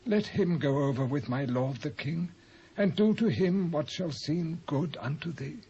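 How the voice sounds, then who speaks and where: A man's voice: on the high side, expressive, a little slow, dark tone. Tired old british man, 80, humble and plaintive, bible drama, muffled tape with some hiss. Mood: weary, pleading. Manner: plaintive, deferential. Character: very aged, humble man of eighty, weary and deferential. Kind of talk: dramatised scripture acting. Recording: dull cassette sound, clear noise.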